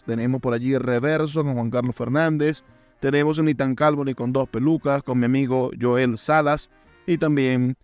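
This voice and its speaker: A man's voice: mid-range voice, darkish tone. A man with a Venezuelan accent presenting a radio programme as its host. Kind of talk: radio presenting and sponsor reads. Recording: music behind the voice. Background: music.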